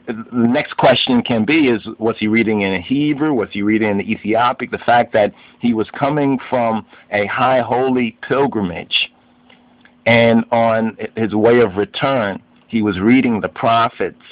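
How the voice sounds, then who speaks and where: A man narrates an informal lesson on religious history, speaking slowly in a fairly low voice. He is didactic and halting, often restating a term in other words. The recording is dull and lo-fi, like a home-made voice-over. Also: no echo. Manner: didactic, halting delivery, repeats and glosses terms in several languages. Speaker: video narrator and lay religious teacher.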